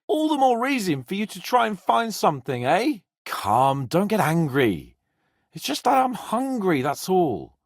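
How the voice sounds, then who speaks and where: A man voicing a spirited young nobleman in a video game, with an expressive, irreverent, bossy but loyal manner. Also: sits high, unhurried, creaky, dry recording, clean studio sound, full character voice. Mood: brisk, companionable. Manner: expressive, irreverent, swearing freely. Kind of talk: video game character voice acting.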